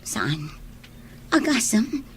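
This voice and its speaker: Long-suffering wife in radio drama, mid voice, patient, bit of noise. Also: female voice, medium pitch, big pitch swings, drawn-out words, exaggerated voice. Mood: troubled, confiding. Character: long-suffering, patient wife and older sister, gentle, troubled. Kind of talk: Ilocano radio drama acting.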